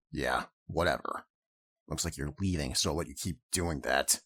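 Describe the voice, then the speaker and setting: A man acting as a bitter, disheveled former army captain in an audio drama, surly and sarcastic with a rough husky voice. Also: a bass voice, normal speed, fairly bright tone, dry recording. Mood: sarcastic, annoyed.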